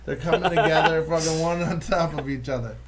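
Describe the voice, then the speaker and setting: A man's voice: on the high side, a little fast, over a noisy crowd. Profane, joking male podcast host. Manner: loose, profane, laughing banter. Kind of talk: casual comedy podcast chat.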